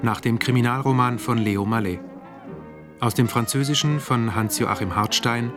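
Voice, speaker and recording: A man reading closing credits as a radio announcer in a formal, measured, matter-of-fact way. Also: medium pitch. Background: music with brass and guitar.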